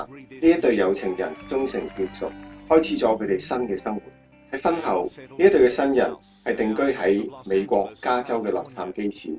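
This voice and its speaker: An actor playing an admiring, earnest interviewer and narrator in a radio drama, presenterly. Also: male voice, on the low side, darkish tone, recorded from a distance, dull audio, close to an everyday voice. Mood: warm storytelling. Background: guitar music.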